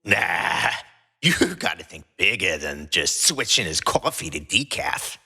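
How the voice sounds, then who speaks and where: A man's voice: sits low, very animated, measured pace, creaky, pushed and bright, breathy edge, exaggerated voice. A man playing an irritable, scheming, mischievous raccoon in an audio drama, grumbling and emphatic.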